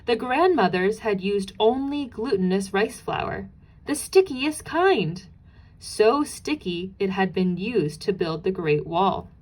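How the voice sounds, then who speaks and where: Slow-paced woman reading a storybook. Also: medium pitch, lively intonation, darkish tone, rough recording. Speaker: storybook narrator. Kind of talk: expressive children's read-aloud.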